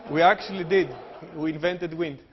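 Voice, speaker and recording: A man with a Greek accent walks through an engineering lecture, joking early on and explaining step by step with plenty of fillers. His voice sits somewhat high. The recording is dull and lo-fi, with the long reverb of a lecture hall. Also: slight background noise. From Greece. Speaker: engineering professor. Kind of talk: technical lecture with jokes.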